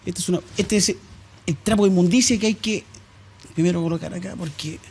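A man's voice: sits high, brisk, a little mellow, a little hiss or hum. A man with a Chilean accent, an evangelical pastor speaking insistently and defiantly as a guest in a TV studio.

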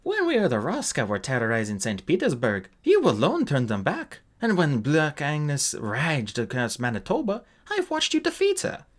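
A man's voice: wildly swooping pitch, moderate pace, few pauses. A man reading aloud and voicing a fierce, ancient female warrior who talks bluntly and demandingly. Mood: insistent. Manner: blunt, demanding, rises sharply in pitch.

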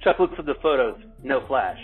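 A man's voice: medium pitch, expressive, moderate pace, faint music behind. A man co-hosting, reading out scripted introductions and narration. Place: remote video call. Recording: tinny, phone-like video call audio.

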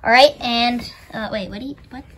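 A child voicing a bossy, self-important animatronic chicken chef, announcing cooks in improvised play in a halting manner. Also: mid-range voice, fairly bright tone, natural voice.

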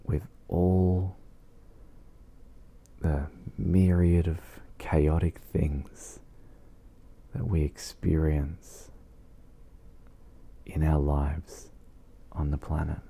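A man leading an ASMR guided meditation in a soft, soothing, breathy voice with long pauses. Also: a bass voice, little pitch movement.

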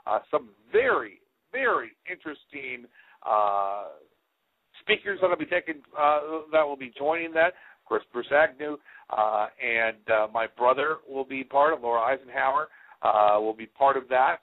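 A man's voice: mid-range voice, animated, normal speed. Man hosting over a tinny, phone-like line. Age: 40s. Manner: rambling, frequently hesitant delivery. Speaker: radio show host. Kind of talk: casual unscripted show announcements. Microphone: headset. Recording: phone-like, band-limited internet radio sound.

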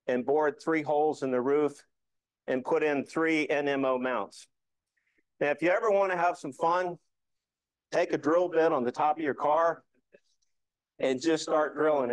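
A man's voice: a little high, no room sound. A man presenting an informal slide talk to a hobby club, explaining things in a folksy, joking, hands-on way. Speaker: amateur radio club presenter.

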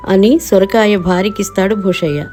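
A woman's voice: medium pitch, moderate pace, dark tone, faint music behind. A woman narrating a scripted moral story for children.

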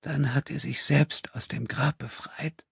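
A man voicing a troubled, compassionate young woman in an audiobook, hushed, breathy and hesitant as she gently probes. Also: medium pitch, steady tone, measured pace, dry recording, clean studio sound, voice pushed up, heavily acted. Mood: horrified, hushed.

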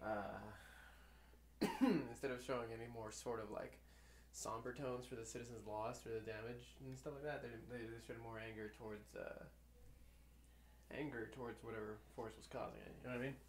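A man's voice: mid-range voice, fairly even intonation, normal speed, slight background noise. A young man rambling casually to himself while doing live commentary on an old movie, full of fillers and restarts. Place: at home.

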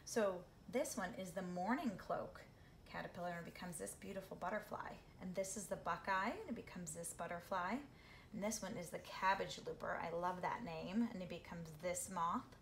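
A middle-aged woman reading a picture book aloud to children, with expressive, drawn-out phrasing and questions for her young listeners. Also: on the low side, vocal fry. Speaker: read-aloud storyteller for children. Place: small living room, seated on a couch. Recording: roomy home recording with background noise.

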